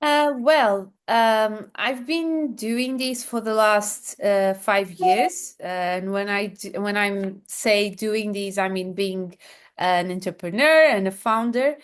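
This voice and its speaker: A woman from Portugal with a Portuguese accent, answering interview questions as a founder with many pauses, fillers and restarts. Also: mid-range voice, clean tone. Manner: hesitant, many fillers and restarts, laughs softly. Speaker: founder guest.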